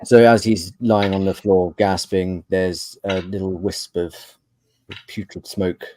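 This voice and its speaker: Dark, low-voiced man running a game. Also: flat delivery, takes it slowly, no echo. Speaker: game master. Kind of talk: improvised tabletop game narration.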